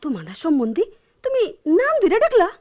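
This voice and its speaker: An actress playing a fed-up, sharp-tongued poor village wife in a Bengali radio drama, scolding and stubborn, with a rural Bangladeshi accent. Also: female voice, very high-pitched, wildly swooping pitch, brisk, muffled recording, exaggerated voice. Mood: indignant.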